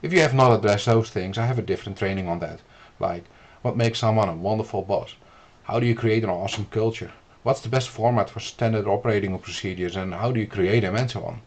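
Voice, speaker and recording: Male consultant, Dutch accent, deeper voice, earnest explainer-video delivery. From Netherlands. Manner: earnest, measured, lecturing to the viewer. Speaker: business consultant.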